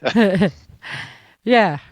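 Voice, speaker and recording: A woman's voice: mid-range voice, expressive, drawn-out words, no room sound, studio quality. A woman hosting a podcast in a chatty, informal way, moving between news rundown and casual talk. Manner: chatty, informal, addresses listeners as guys. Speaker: podcast host.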